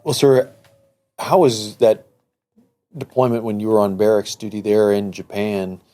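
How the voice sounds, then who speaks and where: Male host, deep and mellow, asks long rambling questions in a polite way. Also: steady tone, measured pace. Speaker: podcast host. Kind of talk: interview questions.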